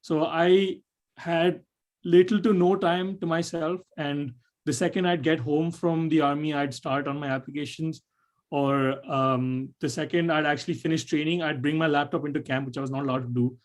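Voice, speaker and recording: A man's voice: fairly high pitch, steady tone, normal speed. A young man from Nepal answering webinar questions about his college choice, rambling with many fillers and restarts over a video call. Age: young adult. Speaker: admitted student panelist.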